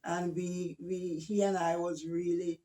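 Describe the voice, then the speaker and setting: Older woman, from Jamaica; slow, warm-toned guest, gentle, halting, repeats herself. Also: on the low side, little pitch movement, poor audio. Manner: hesitant, gentle, repeats words. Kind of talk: casual family interview.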